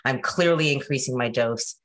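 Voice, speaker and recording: A woman in her forties from Canada, talking casually on a video call, animated and chatty, often checking in with "right?" and "you know". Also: extremely low pitch, moderate pace. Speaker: guest presenter. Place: home room.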